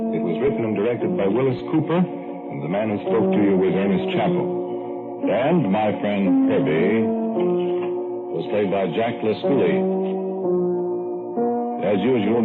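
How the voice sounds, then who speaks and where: Low-voiced American old-time radio announcer. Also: male voice. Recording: muffled old radio recording. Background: loud music.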